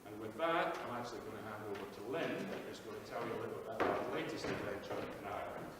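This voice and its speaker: Lowish voiced guy, smooth promo talk, echoey hall, loud noise behind. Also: expressive, quick pace, recorded from a distance. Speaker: company presenter. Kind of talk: presentation handover. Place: large expo hall.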